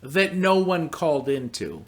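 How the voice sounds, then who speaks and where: A man playing a dry, high-voiced radio sidekick. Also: sing-song, a little slow. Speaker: co-host of a radio comedy sketch. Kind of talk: live radio comedy acting.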